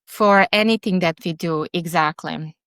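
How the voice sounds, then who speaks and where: A woman, a podcast guest who talks haltingly, often restarting as she searches for words. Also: fairly low pitch, fairly bright tone, clean tone, dry recording, studio quality. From Serbia. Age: 40s. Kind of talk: interview conversation.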